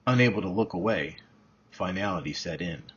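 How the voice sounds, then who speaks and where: A man with a husky voice reading his own novel aloud as scripted narration. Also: low voice, expressive, fairly quick, a bit bright, a little hiss or hum. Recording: dull, muffled lo-fi recording.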